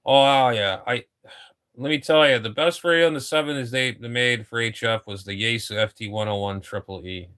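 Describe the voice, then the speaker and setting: A man's voice: mid-range voice, moderate pace, fairly bright tone, clear, resonant tone. A man in his fifties, a ham radio operator chatting casually on air, rambling and repeating himself, with casual swearing. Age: 50s.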